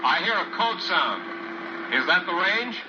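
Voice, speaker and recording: An actor playing an anxious, inexperienced lost pilot in an old-time radio drama, hesitant and edgy. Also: male voice, high-pitched, big pitch swings, measured pace. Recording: noisy, muffled old radio recording.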